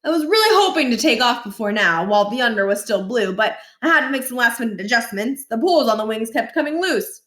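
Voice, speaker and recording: Woman as pompous middle-aged male ex-principal, huffy, formal, animated swoops in pitch, storybook character, roomy echo. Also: fast, few pauses. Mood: proud.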